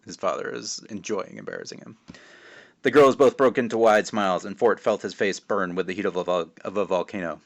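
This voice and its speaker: A man's voice: on the low side, a little fast, vocal fry. A man who writes children's books, speaking casually and narrating his own story aloud. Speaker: children's author reading his own book.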